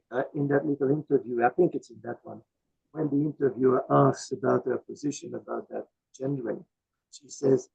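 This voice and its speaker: A man in his seventies with a croaky voice, a guest speaker answering audience questions thoughtfully over a video call, with many fillers. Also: medium pitch, darkish tone. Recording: dull lo-fi video call audio.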